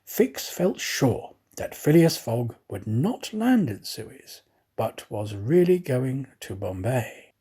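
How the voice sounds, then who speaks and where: A man's voice: medium pitch, very animated, slow pace, warm tone, breathy edge. A man reading a classic adventure story aloud in a theatrical, dramatic storytelling manner. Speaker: audiobook reader, professional actor. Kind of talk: expressive storybook narration.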